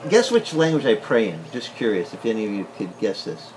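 A middle-aged man whose first language is Italian, preaching an animated teaching sermon indoors and asking the room questions. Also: fairly low pitch, fairly quick, slight background noise, low-quality recording. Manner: animated, gesturing broadly, asks the room questions. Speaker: pastor. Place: wood-paneled indoor room.

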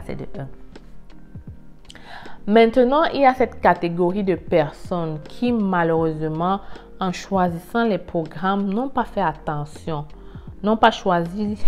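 A woman in her thirties with a low-pitched voice speaks to her viewers as a coach would. She is direct and emphatic, repeating key words in an informal explainer style. She is close-miked on a lapel in a room at home, with music playing faintly behind her. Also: a little mellow. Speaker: video host and immigration coach. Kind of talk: informal explainer talk to camera. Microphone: lapel mic.

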